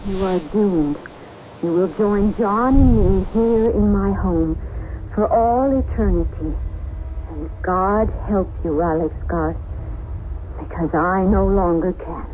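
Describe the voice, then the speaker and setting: An actress playing a frightened young wife, later a ghost, in an old radio drama, pleading and emotional. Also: female voice, medium pitch, slow, warm tone, slight background noise, lightly acted, low-quality recording. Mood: ominous. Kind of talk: 1970s radio drama acting.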